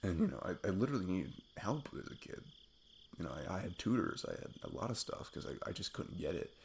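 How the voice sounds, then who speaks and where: Man acting a low, gravelly, tender best friend. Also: sing-song, slight background noise, full character voice. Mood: reflective, candid. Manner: halting, self-deprecating, chuckling rambler. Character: sweet, nervous male best friend confessing his love. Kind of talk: intimate ASMR roleplay monologue.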